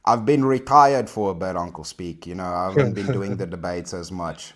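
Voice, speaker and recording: A man's voice: deep voice, moderate pace. A man who has just debated, chatting in a laid-back way and stumbling over and repeating his words. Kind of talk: casual livestream chat.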